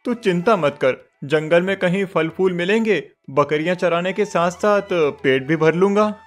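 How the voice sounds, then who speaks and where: A man voicing a poor young village goatherd boy who is gentle and devoted, speaking softly and reassuringly. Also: sits high, measured pace, faint music behind. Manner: soft, reassuring, stammers when scared.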